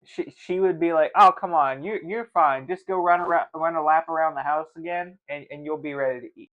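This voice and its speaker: A man chatting casually on a livestream in a halting, grumbling manner, often repeating his words. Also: on the high side, narrow, tinny audio. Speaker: streamer. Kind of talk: casual chat while tasting food on a livestream.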